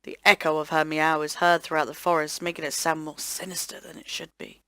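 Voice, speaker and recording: A woman hosting a let's play, reading visual novel narration aloud in an expressive, theatrical way. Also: low voice, brisk, clear, resonant tone, no echo. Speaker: host of a gaming let's play channel.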